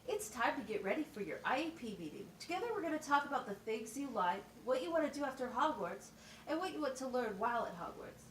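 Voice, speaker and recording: High, lively woman as encouraging teacher puppet. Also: fast. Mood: encouraging, instructive. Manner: instructive, question-led, plain wording. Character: encouraging, methodical special education teacher puppet. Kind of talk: scripted puppet show. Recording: roomy, distant mic, faint noise.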